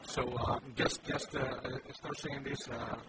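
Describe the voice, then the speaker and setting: Non-native English-speaking man, mid pitch, halting, many fillers, loud noise behind. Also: steady tone. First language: not English. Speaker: panel guest.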